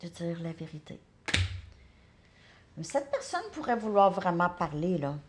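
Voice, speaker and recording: A woman giving a casual tarot card reading. She thinks aloud, repeats words and drops into soft asides. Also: on the low side, very animated, unhurried, noisy background.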